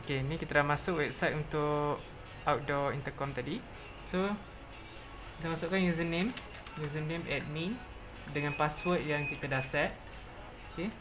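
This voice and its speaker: A presenter with a Malaysian accent narrating a step-by-step software tutorial, mixing in English technical terms. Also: medium pitch, moderate pace, long pauses, music in the background.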